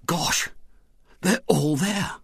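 A scheming boy in a storybook who talks low and fast with excitement. A woman reader does him in a raised voice. The sound is close and dry. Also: husky, no echo. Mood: eager hushed. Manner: eager, hushed.

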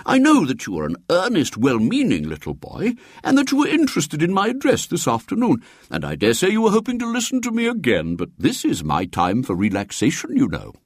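A man's voice: a little high, wildly swooping pitch, a little fast, few pauses, breathy edge, no room sound, clean studio sound, voice pushed up, heavily acted. A man voicing a fussy, stammering, pompous elderly bishop in a storybook reading. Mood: reproachful. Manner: pompous, condescending.

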